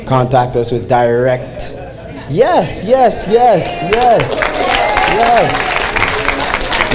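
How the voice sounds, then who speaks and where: Man putting a question to a meeting. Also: fairly high pitch. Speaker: audience questioner, grocery owner and importer. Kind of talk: asking a question at a public town hall.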